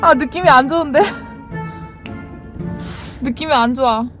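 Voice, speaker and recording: Brash high-voiced woman with Jeolla accent. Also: a little slow. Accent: Jeolla Korean. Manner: brash, teasing, bickering with viewers. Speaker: fishing boat captain and live streamer. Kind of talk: casual live-stream chat. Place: on a boat at sea, outdoors. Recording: loud music over the voice.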